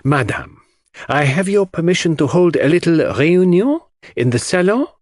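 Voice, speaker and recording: A man playing a complacent, excitable foreign detective in an audiobook, with a grandiose, courteous and emphatic manner. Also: fairly high pitch, normal speed, no room sound, clean studio sound, voice pushed up. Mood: pompous, courteous.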